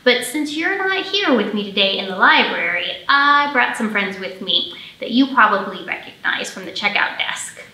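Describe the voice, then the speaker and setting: A woman's voice: mid-range voice, animated, moderate pace, sharp tone. A woman in her thirties hosting a children's storytime as a librarian, speaking expressively and directly to young children. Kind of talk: children's storytime reading and presenting. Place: indoor library room, pre-recorded for online storytime. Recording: roomy echo.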